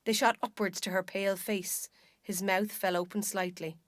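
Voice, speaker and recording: A woman's voice: medium pitch, fairly even intonation, moderate pace, dry recording. Irish woman narrating, close and dry. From Ireland. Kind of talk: scripted dramatic literary narration.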